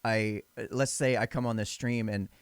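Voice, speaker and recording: A man with a deep voice hosting a casual podcast interview, often stammering, repeating words and restarting his sentences. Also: fairly even intonation, normal speed, clean tone, dry recording. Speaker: podcast host.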